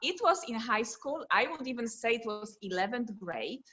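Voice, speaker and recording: A woman's voice: fairly high pitch, expressive, moderate pace, sharp tone. A woman from Poland with a Polish accent, a guest artist talking casually in a video call interview, laughing often and speaking English as a second language. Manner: non-native phrasing, laughs often. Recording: dull lo-fi video call audio.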